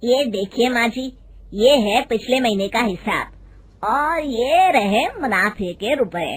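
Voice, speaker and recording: A woman voicing a deferential, smooth-talking male factory manager in a moral story. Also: a little high, expressive, slow, crowd noise. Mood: ingratiating.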